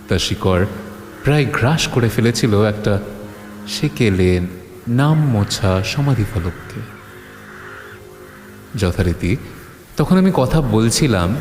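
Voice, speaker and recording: A man's voice: on the low side, darkish tone, slight background noise. A man hosting and narrating a horror audio story in a dramatic scripted style. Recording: long reverb, music underneath. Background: music.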